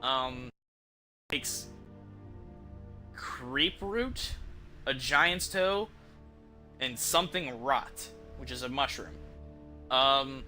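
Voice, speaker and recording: A man's voice: mid-range voice, very slow, long pauses, sharp tone, faint music behind. A man who streams games, giving casual, rambling commentary and thinking aloud in informal, mildly profane language. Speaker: game streamer.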